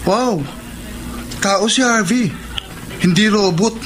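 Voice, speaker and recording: A loyal young basketball buddy in a radio drama. His high voice is blunt and protesting, with slight noise in the recording. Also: slow pace, a bit bright. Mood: indignant. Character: indignant young basketball teammate, outspoken loyal friend. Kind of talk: Filipino radio drama acting.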